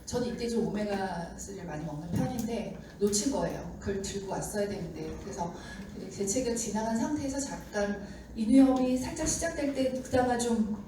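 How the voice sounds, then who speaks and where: A woman giving a seminar talk to an audience, slightly hoarse from a sore throat, chatty and acting out the doubts of skeptics. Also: mid-range voice, lively intonation, brisk, few pauses. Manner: chatty, acts out doubters' lines. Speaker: seminar speaker. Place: lecture room with audience. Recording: echoey hall mic from far off with noise.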